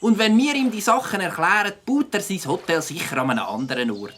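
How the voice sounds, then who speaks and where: A woman's voice: medium pitch, expressive, fairly quick, fairly bright tone, a little hiss or hum, natural voice. A woman voicing a trusting, easygoing young male biker buddy in a children's audio drama. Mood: optimistic.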